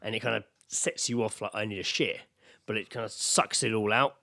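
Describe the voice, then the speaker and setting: A man's voice: lowish voice, a little fast, gravelly, sharp tone, no room sound. A man, hosting a podcast in a rambling, sweary, casual comedic chat. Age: 30s. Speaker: podcast host.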